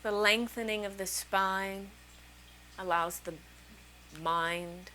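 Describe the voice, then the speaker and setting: A woman's voice: medium pitch, fairly even intonation, sharp tone. Woman guiding meditation over background noise. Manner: soothing, unhurried phrases with long pauses. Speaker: meditation teacher. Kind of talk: guided meditation, slow calming instruction.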